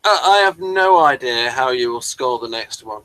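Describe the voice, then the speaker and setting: A man's voice: mid-range voice, lively intonation, normal speed, sharp tone, no room sound, low-quality recording. A man hosting a casual, conversational review discussion. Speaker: podcast host.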